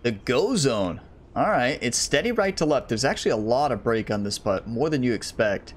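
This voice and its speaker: A man's voice: medium pitch, animated, normal speed, slight background noise. A man giving casual let's-play commentary, chatting to his viewers as he plays, his voice a little worn. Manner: chatty, talks to viewers while playing. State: slightly losing his voice. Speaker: gaming YouTuber.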